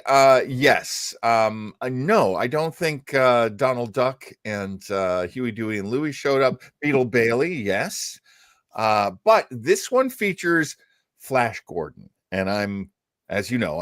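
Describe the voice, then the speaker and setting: A man's voice: mid-range voice, big pitch swings, slow pace, fairly bright tone, no echo, studio quality. A man co-hosting a radio show, chatting casually and hesitantly, with playful self-deprecation as he introduces old-time radio.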